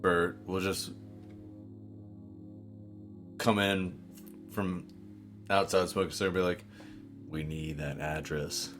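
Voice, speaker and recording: A man's voice: sits low, level tone, slow, pauses often, fairly bright tone, soft background music. A man talking casually as a player in a tabletop roleplaying game.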